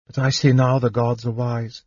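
A man voicing a young elf princess who gently pleads with her dying father. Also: medium pitch, level tone, moderate pace, husky, no room sound, lo-fi sound, lightly acted. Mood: pleading.

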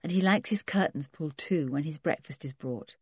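A woman's voice: deep voice, fairly quick, husky, no echo, clean studio sound. Woman playing a kindly, flustered housekeeper. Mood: obliging. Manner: gentle, obliging.

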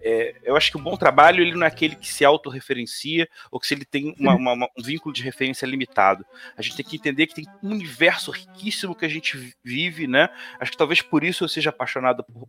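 A man's voice: medium pitch, sharp tone. A man with a Northeastern Brazilian accent, a tabletop game designer chatting casually and rambling with many restarts. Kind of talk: casual conversation on a live stream.

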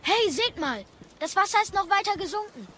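A woman's voice: very high-pitched, expressive, a little fast, noisy background. A woman playing a curious, tender-hearted young boy in an audio play, eager and full of questions. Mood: excited. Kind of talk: dramatised audio play acting.

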